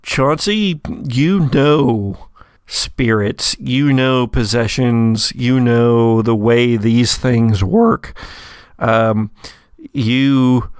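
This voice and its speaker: A man narrating a tabletop roleplaying game as game master, telling the story in a deliberate, dramatic way. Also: medium pitch, smooth, no room sound, clean studio sound.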